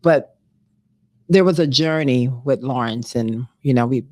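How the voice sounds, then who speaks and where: A woman's voice: rumbling low, a little slow, a little mellow, no echo, studio quality. A woman hosting a candid talk show, emphatic and encouraging, often repeating herself for weight. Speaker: show host.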